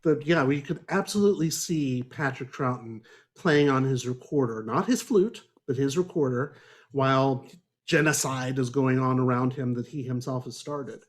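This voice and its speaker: A man's voice: mid-range voice, normal speed. A man hosting a casual book discussion in an exasperated, sardonic and freely profane manner. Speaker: podcast host.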